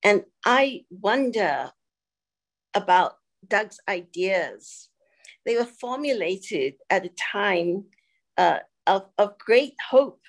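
A woman's voice: mid-range voice, animated. Older woman giving a reflective talk. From Singapore. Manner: reflective, halting with many fillers. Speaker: guest speaker. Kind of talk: presentation on a video call. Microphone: video call.

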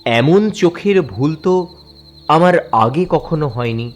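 A man tells a horror tale dramatically at an unhurried pace. His delivery is suspenseful, halting at tense points. Music plays underneath with chirping crickets. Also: on the high side, lively intonation. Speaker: narrator. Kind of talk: dramatic first-person horror story narration.

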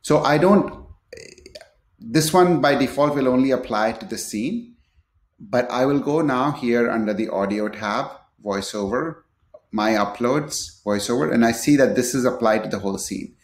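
A man presenting a screen-share software tutorial, explaining it step by step in a somewhat halting way. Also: medium pitch. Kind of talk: screen-share product tutorial, partly unscripted. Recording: echoey room.

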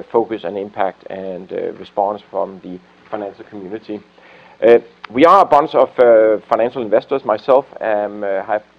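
A man in his forties with a Danish accent and a lowish voice speaks on a conference panel. He pauses often, filling gaps with frequent "uh" sounds as he builds his points. The recording is dull and lo-fi, as captured in a meeting room. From Denmark. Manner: hesitant, many uh fillers. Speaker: investor outreach head on a panel. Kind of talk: panel discussion remarks.

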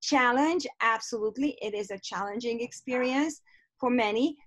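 A middle-aged woman from Hungary with a Hungarian accent, leading an online teacher workshop over a video call and warmly reading out participants' chat replies. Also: on the high side, normal speed, no room sound. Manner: warm, encouraging, reads out chat replies. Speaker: professor leading a webinar. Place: home office on a video call. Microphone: computer mic on a video call.

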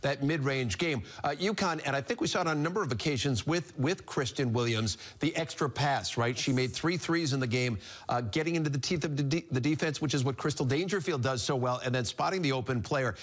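Polished middle-aged studio host. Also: male voice, mid-range voice, brisk, hardly any pauses. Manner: polished, announcer delivery. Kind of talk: sports broadcast hosting.

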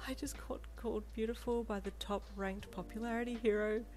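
A woman voicing a starstruck, excitable young woman in a story, with a breathy delivery. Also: fairly high pitch, fast talker, faint music behind, voice pushed up, natural voice. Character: starstruck, giddy young woman, hero's girlfriend.

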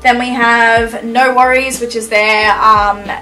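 A woman's voice: a little high, fairly even intonation, slow pace, bright, forceful tone, recorded from a distance, soft background music, rough recording. A young woman in her twenties chatting enthusiastically about skincare products in her bedroom, with lots of fillers. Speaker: beauty vlogger. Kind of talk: casual product review. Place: bedroom, indoors.

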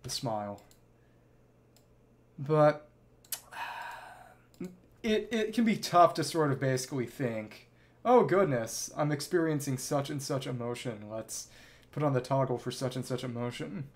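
A man's voice: medium pitch, expressive, measured pace, pauses often. A man giving casual livestream commentary on a game, thinking aloud in clipped fragments and reading bits of dialogue. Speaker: livestreamer, virtual avatar host.

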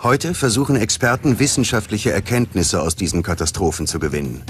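A man's voice: fairly low pitch, a little fast. A man narrating a documentary from a script in a grave, dramatic manner. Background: background music.

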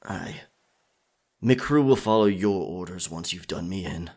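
A roguish pirate captain, acted by a man in an ASMR roleplay, speaks low and slowly. He is captured yet defiant and flirtatious, with a husky edge and a wry, halting, theatrical way of talking. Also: expressive, a little mellow. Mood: resigned, wary. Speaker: audio roleplay performer. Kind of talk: ASMR roleplay acting, one-sided dialogue.

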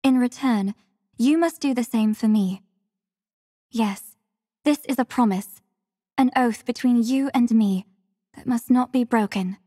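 Gentle, devoted young warrior woman, higher-pitched. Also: no room sound, studio quality, big-room sound. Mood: tender, devoted. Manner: formal, measured, soft-spoken courtesy. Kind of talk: scripted mobile game character lines.

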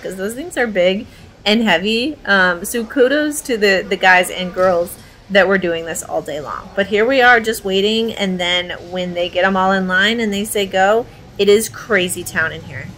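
A woman's voice: mid-range voice, normal speed, fairly bright tone, poor audio. A woman in her thirties chatting casually and informally to her viewers as a thrift reseller vlogger. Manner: informal, addresses viewers as guys. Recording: clear background noise, warehouse ambience.

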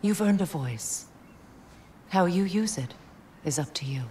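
An actress playing an earnest, dignified female warrior leader in a video game, sincere, measured and encouraging. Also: fairly low pitch, animated, very noisy. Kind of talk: dramatic game acting.

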